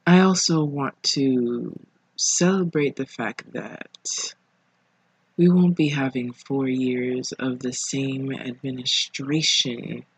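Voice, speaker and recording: A podcast host speaking casually alone, in an informal, reflective way with pauses between phrases. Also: medium pitch, husky, no room sound, rough recording. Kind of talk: casual solo podcast monologue. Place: living room at home.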